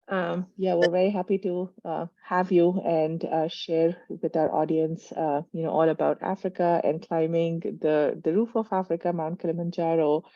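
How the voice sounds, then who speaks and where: A woman's voice: lowish voice, no room sound, highs cut off. A woman hosting a webinar interview over a video call, friendly and full of small acknowledgements and fillers. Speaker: webinar host. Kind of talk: interview host questions.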